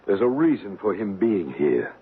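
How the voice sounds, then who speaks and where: An actor playing an earnest, soothing psychic investigator in a 1970s radio drama, speaking in a measured, explanatory way. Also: male voice, lowish voice, sing-song, breathy edge. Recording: noisy, phone-like 1970s radio recording.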